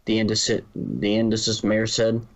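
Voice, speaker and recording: A man's voice: on the low side, expressive, unhurried. A man reading scripted story narration, now and then stumbling and rereading a phrase.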